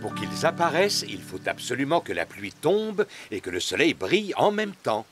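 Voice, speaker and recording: A man's voice: medium pitch, very animated, moderate pace, a bit bright, faint music behind. An actor voicing a jolly, cheerful father pig in a cartoon. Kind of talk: cartoon dubbing.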